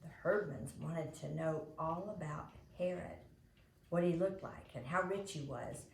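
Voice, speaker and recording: A woman's voice: deep voice, measured pace, vocal fry. A woman reading a children's storybook aloud as a storyteller. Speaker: storybook reader. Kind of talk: animated read-aloud storytelling. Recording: roomy echo, faint background noise.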